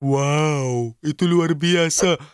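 A man voicing an eager, impatient little cartoon fellow with childlike excitement in a children's cartoon dub. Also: on the high side, very slow, smooth, no echo, studio quality. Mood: eager, confident. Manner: excitable, exclamatory.

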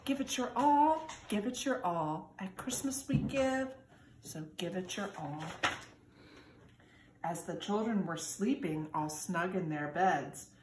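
A woman in her forties, a teacher, reading a children's storybook aloud in an expressive, singsong way. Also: on the low side, slow pace. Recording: echoey room with background noise.

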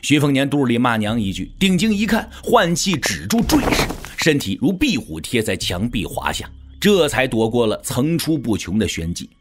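Lively male audio drama narrator. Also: mid-range voice, moderate pace, hardly any pauses, soft background music.

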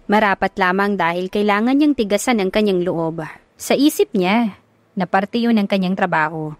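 A woman's voice: medium pitch, no room sound. A woman narrating a scripted romance audio story as its storyteller.

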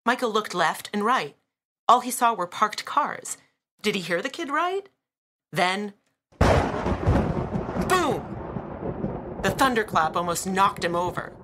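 A woman narrating an audiobook in a dramatic, deliberate style with weighted pauses and emphasis. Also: mid-range voice, big pitch swings, very noisy.

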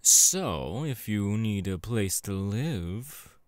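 A man voicing a sleazy, irritable demon film director in an ASMR roleplay, domineering and coaxing with drawn-out delivery. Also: lowish voice, expressive, dry recording, studio quality. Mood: smooth-talking, wistful.

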